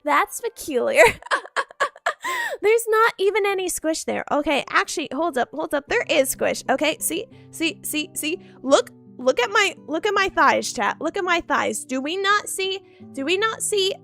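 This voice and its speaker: A woman's voice: very high voice, sing-song, measured pace, gravelly, bright, forceful tone. A woman streamer chatting casually with her viewers, playful and giggly, repeating herself, groggy from just waking up. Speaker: VTuber streamer. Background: faint background music.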